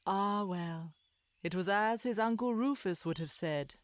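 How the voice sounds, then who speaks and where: A woman narrator reading a scripted children's story in an expressive, measured storytelling manner. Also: medium pitch, no echo. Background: music bed.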